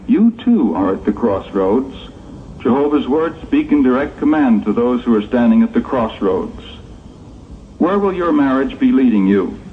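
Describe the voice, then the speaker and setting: A man giving scripted, sermon-like narration in an earnest, measured, rhetorical way, as in a vintage religious audio drama. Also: medium pitch. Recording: muffled, noisy old phone-like tape.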